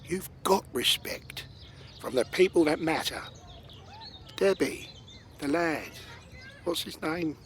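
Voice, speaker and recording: A man acts out a weary old ex-convict in a radio drama. He speaks slowly and gravely, a little high for a man, with fry in the voice and faint birdsong outdoors behind him. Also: animated, fairly bright tone, a little hiss or hum. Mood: grave, fatherly. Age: older. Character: weary, fatherly old ex-convict giving stern advice. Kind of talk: radio comedy-drama acting.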